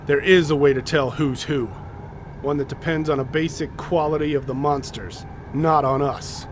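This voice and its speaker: An actor playing a commanding, methodical leader of a polar expedition in an audio drama, explaining things deliberately and with authority. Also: male voice, on the high side, steady tone, a little hiss or hum, lightly acted. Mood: resolute.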